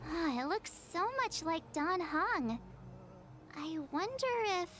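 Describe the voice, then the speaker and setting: A bubbly teenage girl adventurer, extremely high and swooping in pitch. She is perky, excitable and chatty in a naive video game way, with music underneath. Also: slow pace, full character voice. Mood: curious. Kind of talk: scripted video game dialogue.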